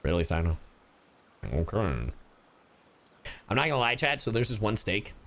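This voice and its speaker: A man's voice: on the low side, moderate pace. A young American man casually commentating as a game streamer, slangy and sweary. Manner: animated, slangy storytelling with profanity.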